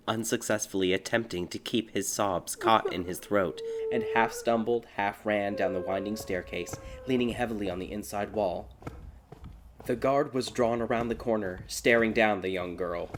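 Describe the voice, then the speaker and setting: A man giving a theatrical, scripted narration of a dark fairy tale as an audiobook. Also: fairly low pitch. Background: music.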